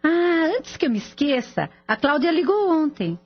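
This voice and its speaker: A woman's voice: sits high, big pitch swings, moderate pace. A woman playing a fussing, caring mother of a grown son in a radio soap opera. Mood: caring concern. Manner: scolding yet motherly. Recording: dull lo-fi audio with music behind.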